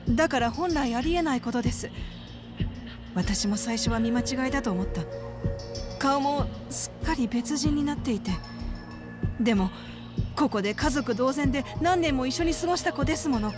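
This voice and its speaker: A troubled, polite middle-aged woman, higher-voiced. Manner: polite, measured, halting. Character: earnest, troubled middle-aged woman caretaker of children at religious facility. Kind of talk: dramatic game cutscene acting.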